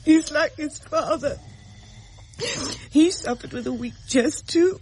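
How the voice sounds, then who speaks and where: A woman's voice: sits high, takes it slowly. A woman voicing an anxious, strained young mother in a radio drama, talking tensely and emotionally. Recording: old radio play with faint hiss.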